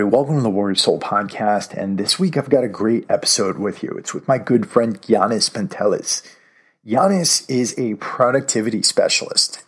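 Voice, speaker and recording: A man's voice: lowish voice, fairly bright tone. A man hosting a podcast, talking in an upbeat, loose conversational way as he introduces a guest and opens the interview. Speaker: podcast host.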